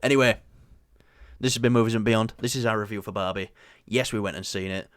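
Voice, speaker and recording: Low-voiced Yorkshire man reviewing films. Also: fairly even intonation, brisk, no room sound, clean studio sound. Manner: rambling, lots of fillers and restarts. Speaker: co-host of a movie review show. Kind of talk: casual chat.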